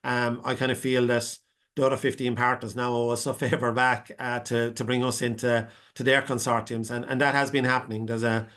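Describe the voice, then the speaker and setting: A man's voice: medium pitch, level tone, fairly quick, a bit bright. An Irish man giving a long unscripted answer as a panelist on a video call, with many fillers and restarts. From Ireland. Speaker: webinar panelist.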